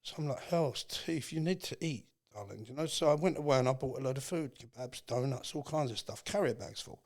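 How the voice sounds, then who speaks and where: A man with an English accent and a mid-pitched voice is a guest in an interview. He tells his story earnestly and reflectively, feeling his way through it with halting pauses. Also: a little mellow. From England. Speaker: podcast guest.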